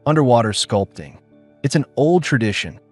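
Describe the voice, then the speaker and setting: A man voicing a quiet, wary young alien woman who answers in soft, terse lines for an audiobook. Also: mid-range voice, sing-song, moderate pace, soft background music.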